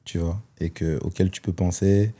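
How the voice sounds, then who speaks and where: Guest, man, 30s: low, warm, dark voice; hesitant, thinking aloud. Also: fairly even intonation, moderate pace. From Belgium. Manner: reflective, hesitant with many fillers. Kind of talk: personal testimony in conversation.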